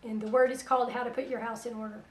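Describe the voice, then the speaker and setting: A middle-aged woman hosting religious internet radio. Her voice is weak after a stroke, and she talks in a soft, plain-spoken, unhurried way. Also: on the high side, flat delivery, roomy sound, distant mic. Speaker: radio host. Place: small room at home, indoors. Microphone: headset.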